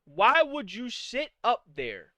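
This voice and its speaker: A man's voice: extremely high pitch, wildly swooping pitch, slow pace, pushed and bright, no room sound. A man in his late twenties hosting a live-streamed podcast, ranting casually with profanity and exasperated rhetorical questions. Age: 20s. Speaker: podcast host.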